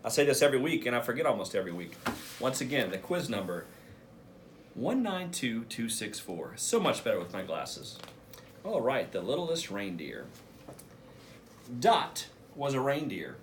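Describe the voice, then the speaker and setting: Man reading to children, very lively pitch. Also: moderate pace, slight background noise. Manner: expressive, addresses children directly. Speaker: school principal reading a picture book aloud. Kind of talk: read-aloud for children, casual asides.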